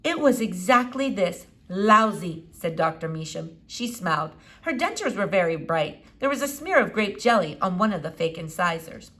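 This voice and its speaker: A woman reading a children's novel aloud in an expressive storytelling style. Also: fairly low pitch, moderate pace, few pauses. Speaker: storybook reader. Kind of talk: expressive read-aloud narration.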